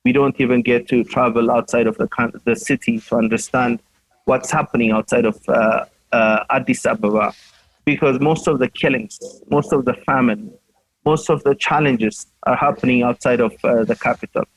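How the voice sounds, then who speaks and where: Man from Ethiopia, panel speaker, halting with lots of uh's, earnest, dry close sound. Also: mid-range voice, fairly even intonation, dry recording, rough recording. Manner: hesitant, many fillers, earnest. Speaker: panelist, journalist. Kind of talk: panel discussion remarks.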